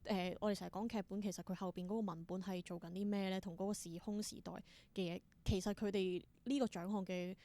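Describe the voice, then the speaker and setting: A woman with a Hong Kong Cantonese accent speaks at a middle pitch as an interview guest. She talks conversationally, explaining as she goes and mixing English words into her sentences. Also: steady tone, quick pace, few pauses, dark tone. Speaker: interview guest, theatre performer.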